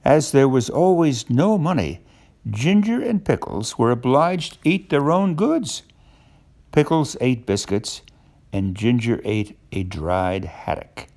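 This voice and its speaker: An older man reading a children's storybook aloud, pausing to explain things simply to a young listener. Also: medium pitch, animated, darkish tone.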